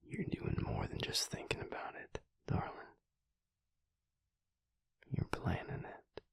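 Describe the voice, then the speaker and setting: A man playing a protective, brooding vampire lover in an intimate audio roleplay, with a husky, breathy voice and a hushed, intense, deliberate delivery. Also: no room sound, whispered, lightly acted. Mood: knowing, tense. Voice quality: husky, breathy, gravelly low voice. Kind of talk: intimate audio roleplay acting, speaking to the listener.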